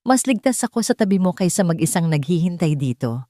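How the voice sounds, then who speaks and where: A woman with a Filipino accent voicing a determined young heroine in an audiobook, calm but cutting. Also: on the low side, fairly quick, no echo, studio quality. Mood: insistent.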